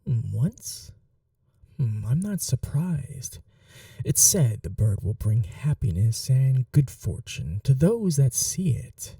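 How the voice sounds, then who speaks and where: Woman acting a dark, whispery vampire mistress. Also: rumbling low, sing-song, dry recording, recorded from a distance, full character voice. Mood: wistful. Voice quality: breathy, often whispering. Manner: teasing, coaxing, unhurried. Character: cruel, doting vampire mistress toying with her captive. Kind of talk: intimate ASMR roleplay.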